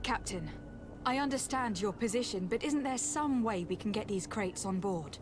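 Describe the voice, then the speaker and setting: A woman's voice: medium pitch, expressive, normal speed, noisy background, natural voice. An actress playing a courteous, determined noblewoman envoy in a video game, speaking politely and formally. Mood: diplomatic. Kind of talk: video game cutscene acting. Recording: music playing behind the voice.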